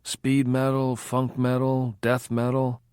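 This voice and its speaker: A man's voice: medium pitch, monotone, slow, darkish tone, clean tone, no room sound, studio quality, pitched above the performer's own voice, close to an everyday voice. A man voicing an opinionated male rock fan who lectures and lists bands. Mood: lecturing. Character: male rock-music know-it-all, opinionated.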